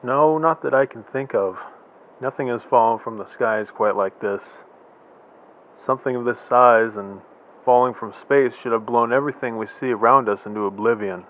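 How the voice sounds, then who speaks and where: Male actor as measured scientist: calm, mid-pitched, muffled lo-fi sound. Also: close to an everyday voice. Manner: calm, considered explaining. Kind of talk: amateur radio drama acting. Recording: dull lo-fi sound.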